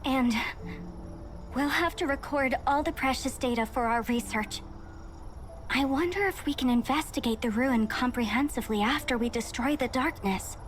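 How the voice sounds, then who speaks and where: A woman's voice: high-pitched, normal speed, slight background noise, natural voice. A woman playing an earnest, dutiful young researcher in a video game, formal and polite as she explains things. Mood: studious, polite. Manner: formal, expository, apologetic. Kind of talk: scripted video game dialogue. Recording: music behind the voice.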